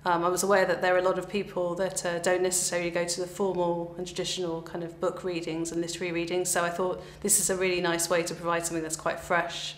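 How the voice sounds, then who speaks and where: A woman in her thirties with an English accent talks in an explanatory interview style. Her delivery is quite flat, and the room is echoey with a faint hum. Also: fairly low pitch, fast, hardly any pauses. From England. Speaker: event organiser. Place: bare indoor room, on camera.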